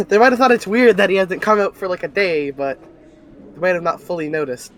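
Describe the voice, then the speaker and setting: A man's voice: high-pitched, fairly quick, fairly bright tone, slight background noise. A man playing a tabletop roleplaying game, talking casually about the story.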